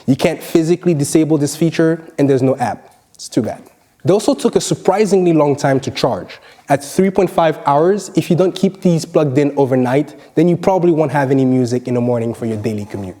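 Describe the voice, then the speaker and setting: A man narrates a scripted product review. His voice sits a little high for a male speaker and has a relaxed, mellow tone. He explains each point in a measured way.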